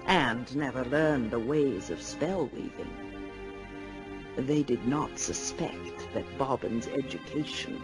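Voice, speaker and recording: A man narrating a fantasy audio drama as a solemn storyteller, measured and portentous. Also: medium pitch. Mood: ominous. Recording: music under the voice.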